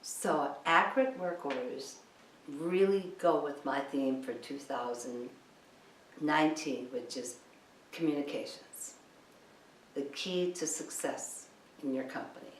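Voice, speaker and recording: Echoey, low-voiced woman giving emphatic training talk. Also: takes it slowly. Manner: emphatic, insistent, rhetorical questions. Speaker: cleaning business trainer. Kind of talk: instructional talk, training lecture. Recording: echoey room, distant mic, background noise.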